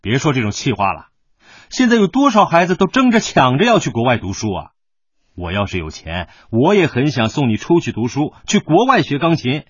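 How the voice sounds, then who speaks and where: A man's voice: mid-range voice, huge pitch swings, a little fast, breathy edge, dry recording, dull audio. A male actor playing a proud, devoted working-class father in a radio drama, middle-aged and emphatic, torn between sternness and tenderness. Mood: coaxing. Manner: emotional, sighing, emphatic. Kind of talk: Chinese radio drama acting.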